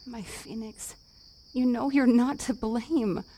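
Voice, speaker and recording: A woman's voice: a little high, fairly even intonation, takes it slowly, warm tone. A woman acting in a fiction podcast as a cocky, playful and fiercely supportive wife, with a casual, emphatic, teasing delivery. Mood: tender, firm.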